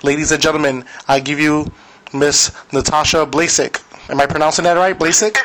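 A man's voice: a little high, steady tone, moderate pace, fairly bright tone, rough recording. A man hosting a podcast interview in a friendly, casual way. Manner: friendly, casual, informal grammar. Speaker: podcast host.

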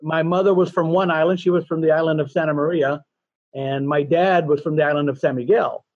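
A man's voice: fairly high pitch, steady tone, fairly quick, a little mellow, no echo. Field: talkative man in his fifties, dull audio. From Azores, raised in California. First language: Portuguese. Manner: talkative, rambling with many restarts. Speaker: podcast guest. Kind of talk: casual interview chat.

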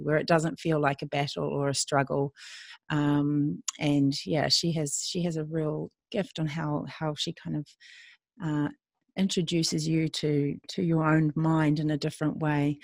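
A woman's voice: low-pitched, no room sound. A woman hosting a podcast, introducing her guest with lots of fillers and gushing praise. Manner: warm, gushing, many fillers. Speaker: podcast host.

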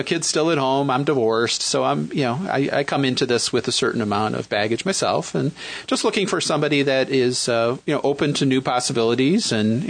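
A man in his fifties with a Midwestern accent, talking casually as a guest, with many pauses and fillers as he searches for words. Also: medium pitch, gravelly, dry recording. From Michigan, raised in Western New York. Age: 50s. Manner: hesitant, many fillers and restarts. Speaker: guest, single dad looking for a partner. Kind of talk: casual chat.

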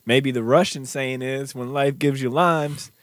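A man's voice: medium pitch, fairly even intonation, measured pace. A man co-hosting in casual banter, jokey and slangy, with some swearing. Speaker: podcast co-host.

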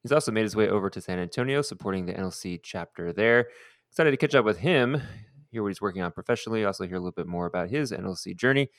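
A man's voice: fairly low pitch, animated, rapid-fire, dry recording, studio quality. A man hosting a podcast, opening the episode and asking friendly, upbeat questions. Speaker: podcast host.

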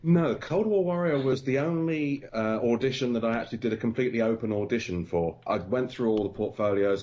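A man who wrote the game, explaining his casting choices in a measured way. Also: fairly low pitch, a little mellow, lo-fi sound. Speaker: game writer and developer. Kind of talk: casual interview chat.

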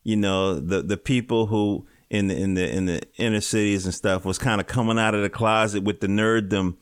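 Laid-back lower-voiced male host. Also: fairly even intonation, normal speed, smooth, dry recording, studio quality. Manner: laid-back, chatty, joking. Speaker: podcast host. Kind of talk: casual interview. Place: small home studio in a dining room. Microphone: home studio mic.

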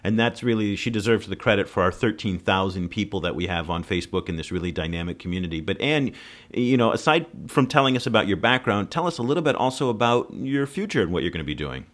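A man hosts a podcast in a relaxed, conversational way and leads the talk by turning to each guest with questions. His voice sits fairly low. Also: quick pace. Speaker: podcast host. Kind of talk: casual podcast chat.

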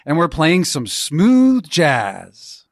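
A man's voice: a little high, huge pitch swings, drawn-out words, background noise, exaggerated voice. A male amateur actor playing an upbeat announcer at a school dance in a radio play.

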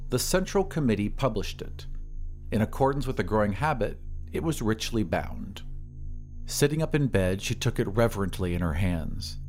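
A man narrates an audio story at a medium pitch, with faint music underneath. His reading is deliberate and expressive, phrased like dramatic literary narration.

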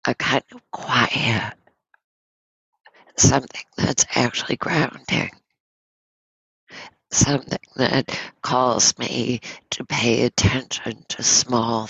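A woman leads a guided meditation at an extremely slow, unhurried pace. Her voice is husky and creaky with a cold, and she speaks gently, leaving pauses between short phrases. The recording is close and slightly dull. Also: rumbling low, fairly even intonation, no echo. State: has a cold. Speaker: meditation teacher.

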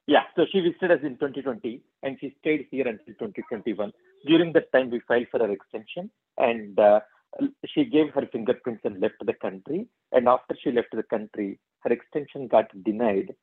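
Husky male caller on a muffled phone line. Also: medium pitch, fairly quick. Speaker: caller asking for advice. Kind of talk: explaining his case and asking questions.

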